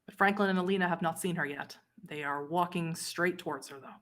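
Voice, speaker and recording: A woman with a slightly low voice acts as game master for a horror roleplay. She sets scenes deliberately, with dramatic pauses and careful emphasis. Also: a bit bright. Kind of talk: narrating a streamed horror tabletop roleplay.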